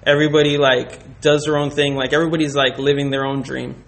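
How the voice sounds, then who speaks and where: A man with a medium-pitched voice answers questions in a relaxed, casual conversation. He thinks aloud, feeling his way through in hesitant, halting phrases. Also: gravelly. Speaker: interview guest. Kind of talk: casual chat about personal experience.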